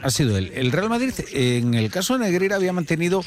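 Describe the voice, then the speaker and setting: A man anchoring a live news discussion in a conversational, halting way. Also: high voice, sing-song, darkish tone.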